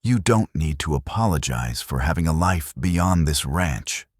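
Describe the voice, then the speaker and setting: A man voicing a gentle, pregnant frontier widow in an audiobook, soft-spoken and careful. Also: very deep voice, lively intonation, a little slow, no room sound, clean studio sound, full character voice. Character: gentle pregnant widow running a struggling ranch.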